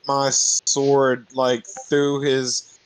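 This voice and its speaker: Man playing a tabletop game, middling pitch. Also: completely flat delivery, drawn-out words, a crowd in the background, rough recording. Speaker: tabletop roleplay player. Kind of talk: casual game chat.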